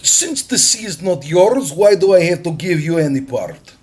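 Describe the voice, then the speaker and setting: Man performing Russian fur-hunting captain, storybook villain, haughty, shrewd, raised pitch, loud declaiming. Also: big pitch swings, normal speed. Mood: haughty, arguing.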